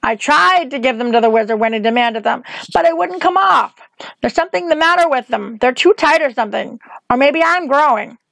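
A woman's voice: high-pitched, animated, a little fast, gravelly, fairly bright tone, no echo, heavily acted. A woman voicing a frightened, earnest young girl with a breathless, pleading manner in an audiobook. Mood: desperate. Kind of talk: audiobook character acting.

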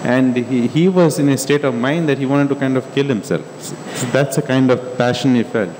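A middle-aged man on a stage panel, speaking calmly and in a measured way into a handheld mic. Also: medium pitch. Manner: calm, measured explaining. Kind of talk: panel discussion. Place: large stage hall. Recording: echoey hall with faint hum.

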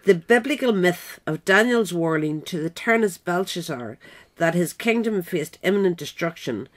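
A woman narrator reading a scripted political essay in a measured, written style. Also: on the low side, animated.

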